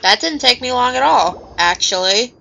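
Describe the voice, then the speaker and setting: A woman streamer giving casual, exclamatory reactions and halting asides while playing a game. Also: on the high side, lively intonation, gravelly, pushed and bright, dull audio, soft background music.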